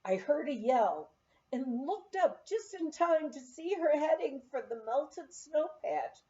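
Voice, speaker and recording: A woman reading a memoir aloud in an expressive storytelling manner, on a slightly dull recording. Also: sits high. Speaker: audiobook reader.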